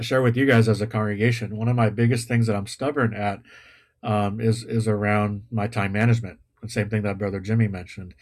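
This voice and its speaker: A man giving a sermon to his congregation over a video call, relaxed and self-deprecating, with pop-culture asides. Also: lowish voice. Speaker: pastor. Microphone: video call or livestream.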